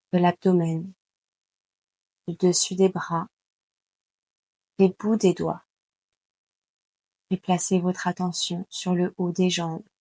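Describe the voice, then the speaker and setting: A woman leading a guided yoga nidra meditation in a calm, soothing, unhurried way, leaving long pauses between instructions. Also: fairly low pitch, dry recording. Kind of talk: slow guided meditation narration.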